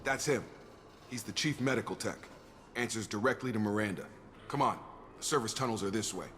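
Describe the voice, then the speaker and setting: A low-pitched American soldier played by a male actor, loyal and steady, talking in a matter-of-fact, informal way. It is game dialogue with clear background noise. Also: brisk, natural voice. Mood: brisk, alert.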